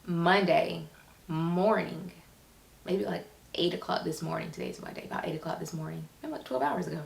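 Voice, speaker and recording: Echoey, creaky-voiced woman vlogger. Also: lowish voice. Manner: halting, informal, acts out quoted remarks. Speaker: vlogger, writer and web series creator. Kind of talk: candid personal vlog. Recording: echoey room sound.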